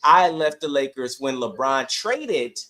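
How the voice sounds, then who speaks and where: A man's voice: mid-range voice, very animated, measured pace, sharp tone. A man on a sports podcast arguing casually and emphatically, often repeating phrases to drive his point home.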